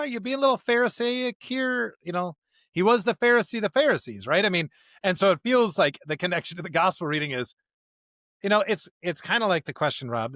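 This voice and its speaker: Animated higher-voiced man, casual podcast co-host. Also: no room sound, clean studio sound. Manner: informal, animated paraphrasing in everyday slang. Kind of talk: casual scripture discussion.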